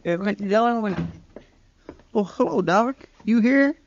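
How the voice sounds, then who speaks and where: An actor playing an eager, deferential marshal's sidekick with a hesitant, polite manner in an old-time radio western. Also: male voice, high voice, animated, measured pace, vocal fry, slight background noise, heavily acted. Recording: dull vintage radio recording.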